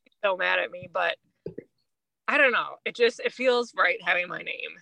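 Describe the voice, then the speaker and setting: A woman's voice: fairly low pitch, normal speed, creaky, pushed and bright, no room sound, poor audio. A woman in her thirties chatting casually as a podcast co-host, informal and a little self-deprecating. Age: 30s. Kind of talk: casual chat.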